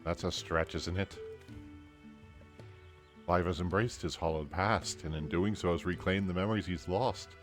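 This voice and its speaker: A man voicing an aloof, inquisitive female mage in an amateur game dub, lifting his voice into a measured higher register. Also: faint music behind, lightly acted. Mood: explaining.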